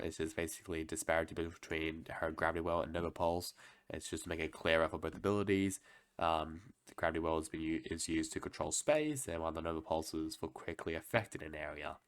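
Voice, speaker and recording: A man's voice: extremely low pitch, fairly quick, fairly bright tone, clean tone, no echo, clean studio sound. A young man who is a bit sick, reading through game patch notes in a casual, hesitant way, often restarting and guessing. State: sick, voice lower than usual. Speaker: gaming video host.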